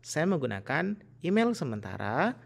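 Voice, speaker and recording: A man's voice: fairly high pitch, sing-song, moderate pace, vocal fry. A man presenting a casual step-by-step tutorial in an instructional manner.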